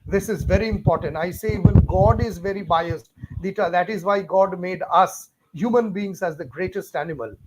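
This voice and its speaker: A man's voice: sits high, normal speed, very noisy. A man with an Indian English accent teaching a live online class, stressing points over and over and talking straight to viewers by name. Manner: emphatic, repetitive, talks straight to viewers by name. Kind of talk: live-streamed lecture.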